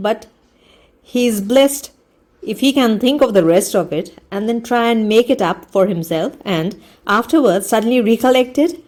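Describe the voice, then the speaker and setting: A woman storyteller giving a deliberate, expressive read-aloud narration of a comic story. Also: a little high. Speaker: audiobook storyteller.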